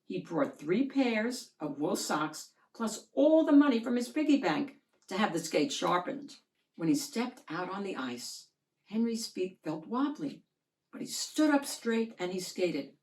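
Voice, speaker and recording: Slow, very animated woman storyteller. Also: mid-range voice, poor audio. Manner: animated, deliberate storytelling with drawn-out words. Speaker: picture book reader. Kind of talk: expressive children's storybook read-aloud.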